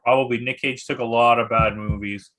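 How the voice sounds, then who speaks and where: A man's voice: medium pitch, steady tone, brisk, bright, forceful tone, rough recording. A man in a casual, opinionated discussion, speaking in a blunt, informal and emphatic way. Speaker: guest movie critic.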